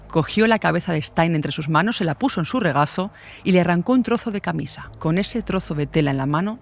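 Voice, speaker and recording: Guy from narrating true crime, dramatic storyteller, voice a bit on the high side. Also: vocal fry.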